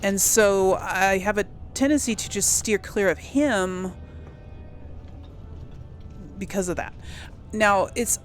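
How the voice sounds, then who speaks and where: Female let's play host, conversational and musing, mid pitch, with music underneath. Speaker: gaming channel host. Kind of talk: casual game role-play commentary.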